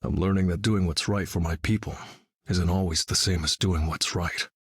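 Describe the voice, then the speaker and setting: A very husky, brooding dark vigilante. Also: male voice, sits low, normal speed, no room sound. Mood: somber. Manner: brooding, dry, deadpan. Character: grim, battle-hardened male vigilante leader with keen hearing. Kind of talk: video game character voice lines.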